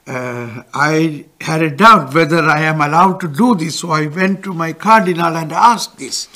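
Older man, high voice, slow deliberate catechism teacher, studio lapel mic. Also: bright, forceful tone. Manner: gestures broadly while explaining, pauses for interpreter. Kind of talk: catechism lesson with interpreter. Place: studio with backdrop.

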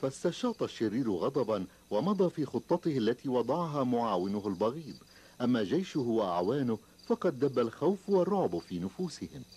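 A man's voice: mid-range voice, very animated, fairly quick, breathy edge. A man narrating a children's story in a dramatic, scripted style. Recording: loud ambient background noise.

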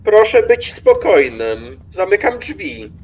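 An actor playing an officious, sardonic train conductor in a radio play, formal and curt. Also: male voice, high-pitched, big pitch swings, takes it slowly, muffled recording, exaggerated voice. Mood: curtly official.

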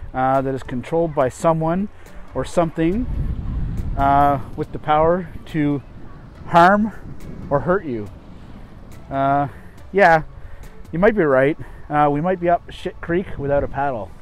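Higher-voiced man teaching conversational English. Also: unhurried, long pauses. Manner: casual, explanatory. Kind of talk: scripted conversational English lesson with idiom explanations.

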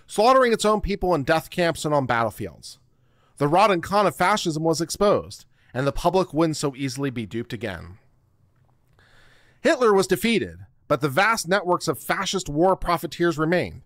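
A middle-aged man giving a theatrical, deliberate dramatic reading of a written text into a close microphone. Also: a little high, a bit bright, dry recording. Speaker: online show host. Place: small home studio room. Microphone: close microphone on boom arm.

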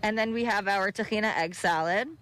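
An upbeat young woman talking about her food business in a live TV interview. She speaks outdoors into a handheld mic at a middle pitch. She explains and lists her menu items in a friendly, easy way. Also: a little fast, fairly bright tone. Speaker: bagel business owner. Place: outdoor park by the ocean. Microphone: handheld reporter mic.

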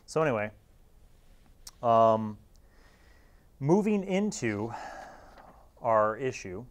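A man's voice: mid-range voice, sing-song, very slow. An American man in his forties hosting a casual review to camera in a reflective, nostalgic manner. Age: 40s. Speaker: host.

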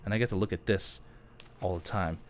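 A man's voice: low-pitched, brisk, noisy background. A man giving casual gameplay commentary, thinking aloud as he chats and answers questions. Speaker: gaming YouTuber.

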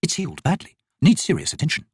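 Blunt army medic, played by a male narrator, colloquial, husky, fantasy audiobook. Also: on the high side, huge pitch swings, fast talker, no echo, studio quality, pitched above the performer's own voice, full character voice.

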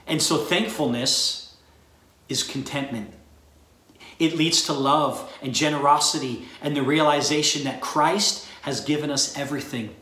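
A middle-aged man, a pastor, giving a recorded sermon to graduates in an earnest, measured way from a small room. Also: on the high side, bright, forceful tone, roomy sound. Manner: earnest, measured preaching delivery. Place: small room with a bookshelf, indoors.

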